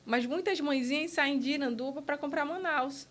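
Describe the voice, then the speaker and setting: A woman's voice: high voice, moderate pace, fairly bright tone. A woman from Brazil, an entrepreneur being interviewed on a podcast, speaking earnestly in short bursts with frequent restarts. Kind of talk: casual interview conversation.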